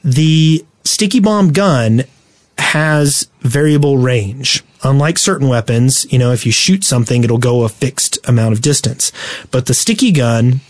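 A man co-hosting a casual gaming podcast, explaining tactics in a halting, instructional way. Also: medium pitch, lively intonation, no room sound. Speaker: podcast host.

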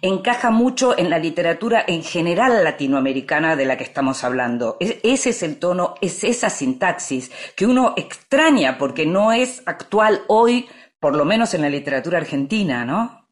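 A woman with a Rioplatense Spanish accent, interviewing in a conversational, halting way as she thinks aloud. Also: fairly low pitch, lively intonation.

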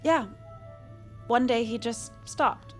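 An actress playing a chatty, name-dropping former mistress in an audio drama, with an airy, breezy, sociable manner. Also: female voice, a little high, takes it slowly, faint music behind. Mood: wistful.

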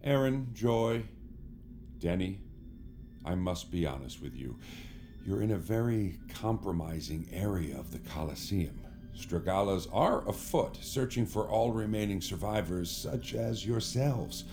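Smarmy male celebrity, low swooping voice, pompous, evasive, grandiose, audio drama. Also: animated, a little slow, warm tone, soft background music. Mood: probing, solemn. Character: smarmy, theatrical celebrity actor posing as a savior.

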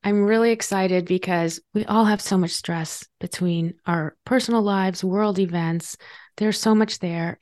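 A woman's voice: medium pitch, darkish tone, no room sound, studio quality. A woman hosting a podcast, asking questions with enthusiasm. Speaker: podcast host.

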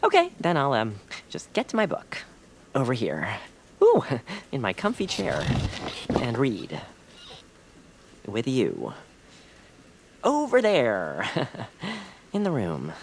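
A woman's voice: a bass voice, wildly swooping pitch, slow, pauses often, noisy background, heavily acted. A woman voicing a fussy, timid, polite male homebody in a family audio drama, prim and hesitant. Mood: polite, strained. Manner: prim, hesitant, stammering when flustered.

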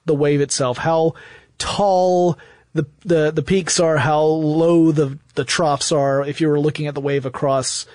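A man's voice: on the high side, fairly even intonation, a little slow, a little mellow, no room sound, studio quality. A man hosting a podcast, explaining technology history in conversation with enthusiasm and hypothetical examples. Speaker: podcast host.

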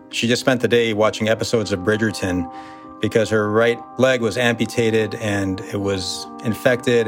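An American man telling personal stories as an interview guest, in a reflective, halting way. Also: fairly low pitch. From United States.

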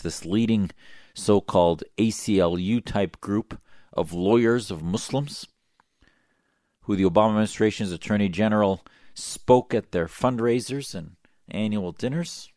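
A man's voice: fairly low pitch, a little mellow, no room sound, studio quality. A man giving opinionated talk-show commentary in an emphatic, sarcastic, combative manner. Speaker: political commentator.

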